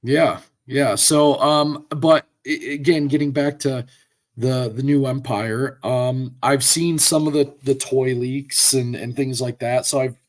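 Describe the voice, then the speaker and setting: A man with a hoarse voice from a cold, chatting casually as a podcast co-host and giving rambling, opinionated takes with lots of fillers. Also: mid-range voice, steady tone, slow. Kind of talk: casual chat.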